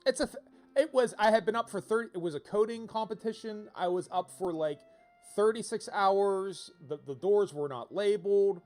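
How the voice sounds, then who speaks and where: A man giving casual, rambling game-stream commentary in an animated, digressive way, repeating himself for emphasis. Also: sits high, normal speed. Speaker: livestreamer playing a visual novel. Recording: game music behind the voice. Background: video game music.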